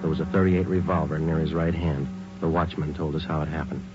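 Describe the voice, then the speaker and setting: An actor playing a hard-boiled police sergeant who narrates cases in a clipped, deadpan 1940s radio style. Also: male voice, rumbling low, a little fast. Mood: deadpan narration. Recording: dull vintage radio recording with music.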